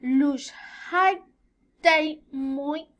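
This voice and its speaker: Woman, twenties, Colombian Spanish accent, native Spanish speaker, high-pitched, very slow, halting student presentation. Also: fairly bright tone, muffled recording. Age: 20s. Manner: halting, searching for words. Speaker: student giving an oral presentation. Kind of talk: rehearsed self-introduction for a language class.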